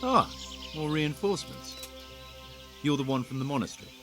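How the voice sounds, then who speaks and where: An actor playing a brisk, skeptical commander of a bandit camp in a medieval game, blunt and appraising. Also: male voice, fairly high pitch, animated, over music. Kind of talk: video game cutscene acting.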